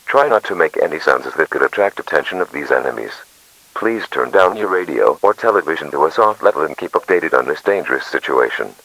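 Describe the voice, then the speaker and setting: A man's voice: extremely low pitch, lively intonation, brisk, fairly bright tone, low-quality recording. A man reading a scripted emergency alert as an announcer.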